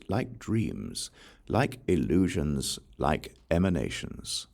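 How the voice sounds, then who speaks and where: A man reading scripture aloud as a solemn audiobook, with measured, deliberate phrasing and pauses between short phrases. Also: a bass voice, creaky, darkish tone. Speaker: audiobook reader.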